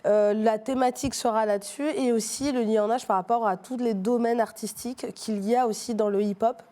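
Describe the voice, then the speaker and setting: A middle-aged woman from Martinique talks through her answers in a mellow, warm tone. She hesitates often, restarting her sentences and filling pauses. It sounds like a relaxed interview conversation. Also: a little high. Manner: hesitant, many fillers and restarts. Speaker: street artist guest. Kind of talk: casual interview talk.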